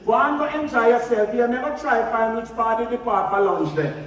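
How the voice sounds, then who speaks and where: A man on stage playing a chatty, excitable Jamaican farm worker in a comic pantomime, exclamatory and folksy with a Jamaican accent. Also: very high voice, moderate pace. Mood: scolding. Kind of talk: broad comic stage-play dialogue in patois. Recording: echoey theatre from far off, audience noise.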